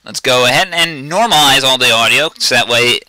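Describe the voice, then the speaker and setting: A man with a very bright, sharp, forceful tone walks viewers through software in a loose, rambling tutorial. He is blunt and keeps breaking off and restarting his sentences. His loud voice sits on a dry, close microphone. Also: medium pitch, brisk, no echo, rough recording. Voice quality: self-described loud voice. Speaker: video tutorial host. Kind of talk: casual screen-recorded software tutorial.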